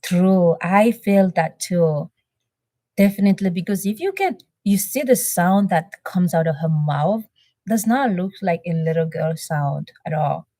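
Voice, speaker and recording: Low-voiced woman chatting live. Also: lively intonation, measured pace, dry recording. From Benin. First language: French. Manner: chatty, warm thanks, reads chat aloud with many fillers. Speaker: reaction channel host. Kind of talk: live-stream chat with viewers.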